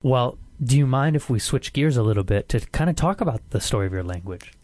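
A man's voice: mid-range voice, lively intonation, a little fast, darkish tone, dry recording, studio quality. A man hosting a casual, conversational interview in an encouraging, supportive way. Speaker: interview host.

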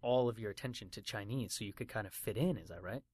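A man's voice: on the low side, fast, a little mellow, slightly rough, dry recording. A man interviewing a guest, encouraging but hesitant, often repeating words as he starts sentences. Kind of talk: casual interview chat.

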